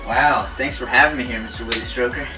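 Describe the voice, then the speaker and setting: A man's voice: mid-range voice, fairly even intonation, quick pace, far from the mic, natural voice. A man playing a physician guest who hesitates and fills his answers with "uh" and "um". His mid-range voice comes from away from the mic, with music playing behind. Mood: hesitant. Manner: hesitant, many fillers. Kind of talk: amateur talk-show skit.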